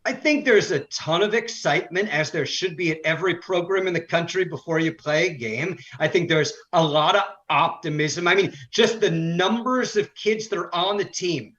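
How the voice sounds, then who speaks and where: A man's voice: sits high, normal speed, few pauses, a bit bright, dull audio. A man who works as a sports broadcaster, giving conversational analysis as an interview guest.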